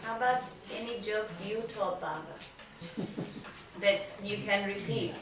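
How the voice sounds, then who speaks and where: A woman's voice: mid-range voice, unhurried, noisy background. A woman visitor asking brief questions in casual conversation. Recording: echoey room, distant mic, crowd behind.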